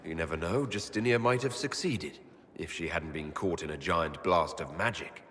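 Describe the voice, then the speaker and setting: Low-voiced, plain-spoken heroic leader. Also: male voice, moderate pace, fairly bright tone. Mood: frank. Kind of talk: game dialogue acting. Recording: long reverb, clear background ambience noise.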